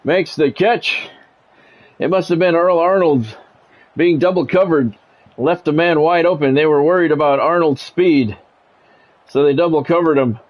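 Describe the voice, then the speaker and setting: A man's voice: fairly high pitch, normal speed, pauses often, highs cut off. A middle-aged man commentating a sports video game in radio play-by-play style, with short clipped calls and casual, salty asides. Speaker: gaming streamer and play-by-play commentator.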